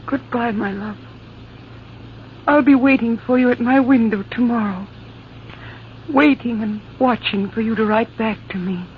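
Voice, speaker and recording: A woman's voice: on the high side, a little slow, pauses often, lightly acted. Actress doing lovestruck young lady in an old radio play, tender, dull hissy recording. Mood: tender longing. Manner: tender, ardent. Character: devoted young sweetheart bidding a tender farewell. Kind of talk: old-time radio drama acting.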